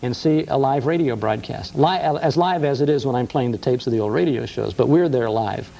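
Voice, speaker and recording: A man's voice: mid-range voice, brisk, gravelly, mellow, slight background noise, poor audio. A middle-aged man, a radio host chatting enthusiastically as an interview guest and telling stories with many fillers. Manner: enthusiastic, storytelling, frequent uh fillers. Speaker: radio host and museum co-founder. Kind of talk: casual interview chat.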